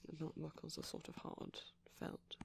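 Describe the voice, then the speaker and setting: A man speaking softly and breathily in an ASMR boyfriend roleplay as a shy, gentle vampire, hesitant and often slipping into whispers. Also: on the high side, wildly swooping pitch, normal speed, vocal fry. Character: shy, gentle pastel vampire boyfriend showing off plush toys.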